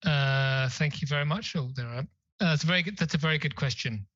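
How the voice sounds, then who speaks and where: A man's voice: a little high, a little fast, fairly bright tone, no echo, muffled recording. A man answering a question on a conference panel, talking hesitantly with many fillers and restarts.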